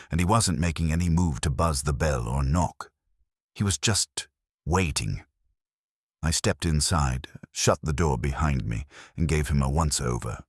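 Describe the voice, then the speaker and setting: A man narrates a detective mystery in a very low voice with a husky grain. The delivery is wry and hard-boiled, with animated rises and falls, recorded close and dry. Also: no room sound. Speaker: audiobook narrator. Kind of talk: scripted first-person detective story narration.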